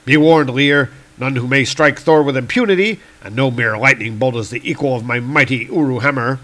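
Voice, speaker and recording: A man's voice: mid-range voice, moderate pace, a bit bright, natural voice. A man voicing a noble, boastful thunder god from a comic book, with pompous archaic declamation. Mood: boastful, defiant. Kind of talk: reading comic book dialogue aloud.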